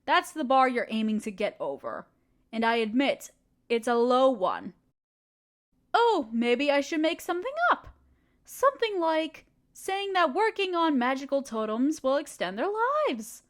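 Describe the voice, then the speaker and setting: A woman's voice: sits high, sing-song, a little slow. A woman voicing a ruthless, scheming evil queen in an audio roleplay, speaking in a condescending, sardonic, commanding way. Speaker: voice actor.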